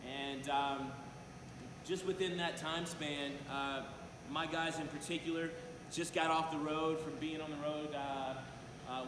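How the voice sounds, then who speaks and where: A man giving a motivational talk as a coach at a conference, addressing his audience directly with frequent hesitant pauses, in a large room. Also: fairly high pitch. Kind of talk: motivational public presentation. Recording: very echoey noisy hall, long reverb.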